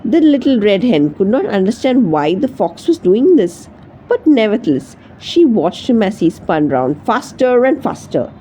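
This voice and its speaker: A woman reading a children's story aloud as narrator, in an expressive storytelling manner. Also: mid-range voice, normal speed, a little hiss or hum, rough recording. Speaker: storybook reader.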